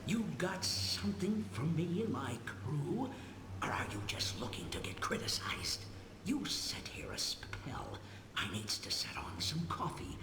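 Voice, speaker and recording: An actor playing a sly, menacing rustic gang boss in a video game. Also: male voice, on the high side, big pitch swings, unhurried, echoey room, whispered. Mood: threatening, sly. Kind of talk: video game character acting.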